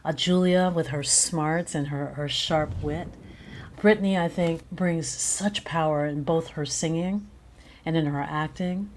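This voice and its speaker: Female interview guest, low register, conversational, effusive and emphatic in praising collaborators. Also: measured pace, slight background noise. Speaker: guest, playwright and director.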